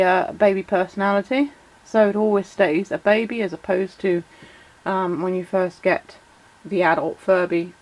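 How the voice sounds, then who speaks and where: A woman with a cold talks in a croaky, slightly sore voice, chatty and loose with plenty of ums and uhs. Her pitch sits in the middle range for a woman. She walks through toy details in a relaxed vlog style. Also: low-quality recording. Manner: chatty, many fillers, reads out shout-outs. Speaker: toy collector vlogger. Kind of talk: casual explanatory vlog.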